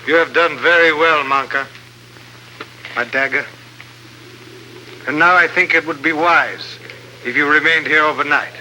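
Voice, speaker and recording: An actor playing a coldly courteous, menacing villain chieftain in a 1950s radio adventure, speaking in formal, measured sentences. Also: male voice, a little high, fairly even intonation, slight background noise, low-quality recording. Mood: cool, commanding. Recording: old 1950s radio recording, loud music.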